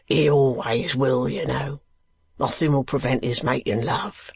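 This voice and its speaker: A storybook reader puts on a coarse male keeper with a gruff voice. He speaks slowly and bluntly in rough, uneducated phrasing, with a stolid and unsentimental temper. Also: mid-range voice, steady tone, darkish tone, no room sound. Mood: blunt, scornful. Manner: slow, blunt, uneducated speech.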